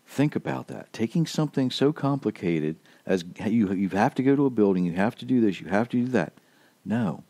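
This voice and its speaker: A man gives a homey podcast sermon in a low, mellow voice, recorded close and dry with no room sound. He is earnest and emphatic and keeps driving his points home. His voice sounds slightly worn. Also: animated, a little fast, creaky, dry recording. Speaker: podcast preacher.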